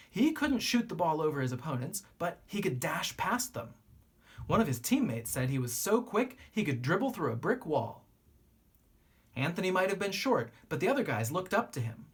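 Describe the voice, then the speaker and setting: A teacher reading a children's picture book aloud in an animated way, with drawn-out emphasis and a slightly husky voice. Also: medium pitch. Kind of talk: expressive children's storybook read-aloud.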